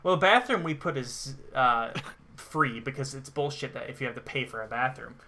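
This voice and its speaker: Man, young adult, casual gameplay commentary, very bright punchy voice, co-host. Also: medium pitch, moderate pace, slight background noise. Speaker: gaming show co-host playing the game.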